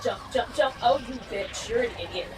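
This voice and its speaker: A woman's voice: a little high, a little fast, far from the mic, over music. A woman chatting casually and bluntly, with some swearing, during a game playthrough. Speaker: streamer.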